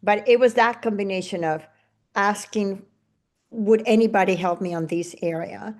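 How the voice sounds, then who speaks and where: A woman's voice: medium pitch, normal speed. A middle-aged woman from Venezuela with a Venezuelan Spanish accent, hosting a podcast interview and reflecting in long, winding sentences. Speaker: podcast host. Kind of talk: casual interview conversation.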